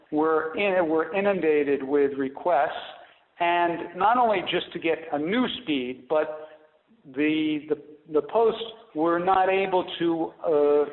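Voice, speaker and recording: Halting businessman on an echoey phone line. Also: fairly high pitch. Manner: halting, repeats and restarts phrases. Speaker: company executive on earnings call. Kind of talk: unscripted business explanation on a conference call. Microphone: conference call phone line. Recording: narrow tinny phone line, roomy echo.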